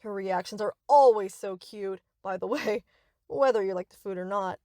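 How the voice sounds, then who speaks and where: A woman's voice: mid-range voice, normal speed, mellow, dry recording, clean studio sound, close to an everyday voice. A woman playing a young yandere captor in a scripted roleplay, speaking to the listener with cutesy, rambling, possessive affection. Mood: adoring, excited. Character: sweet, obsessive yandere best friend, young woman captor.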